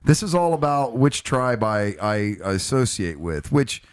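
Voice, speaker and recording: A man's voice: medium pitch, very animated, a little slow, smooth, dry recording. A middle-aged man hosting a podcast, rambling in a laid-back way and laughing often. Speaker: podcast host. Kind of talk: casual late-night chat.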